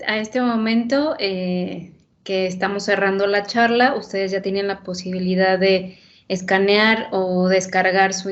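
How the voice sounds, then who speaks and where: A woman organizing a course, calmly explaining the next assignment to participants. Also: mid-range voice, dull audio.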